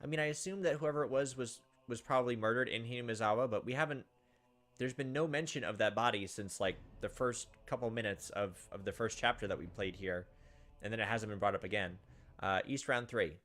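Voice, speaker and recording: A man's voice: medium pitch, fairly quick, a bit bright. A young man reading game text aloud and commenting casually as a let's play host. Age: young adult. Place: indoors at a computer, webcam.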